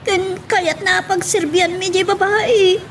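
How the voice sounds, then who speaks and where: Frightened, tearful Ilocano child, extremely high voice, radio drama. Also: female voice, steady tone, slow pace, a bit bright, slight background noise, heavily acted. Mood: upset, pleading. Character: frightened young child fleeing home, tearful.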